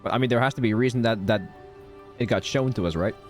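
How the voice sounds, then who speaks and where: A man's voice: medium pitch, fast talker, soft background music. A man giving casual, slangy, joking commentary as he streams a game playthrough.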